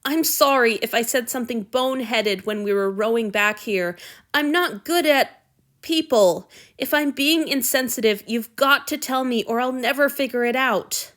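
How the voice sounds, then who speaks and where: A woman narrator voices an awkward but kindly young woman, her lines set a little higher than the narration. She speaks in a soothing, earnest, slightly anxious way. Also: normal speed, a bit bright, lightly acted.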